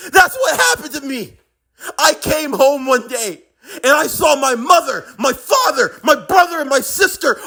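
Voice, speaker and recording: A man acting as a bitter, defiant young man in an anime drama, his voice swinging widely in pitch. He has a gravelly creak and hits his profane taunts with heavy emphasis. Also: fairly bright tone, exaggerated voice.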